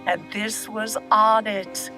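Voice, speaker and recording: An older woman acting in an audio drama as an elderly grandmother recalling her past, candid and steady, in a reflective, measured way. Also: medium pitch, over music, close to an everyday voice. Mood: fond, slightly flustered.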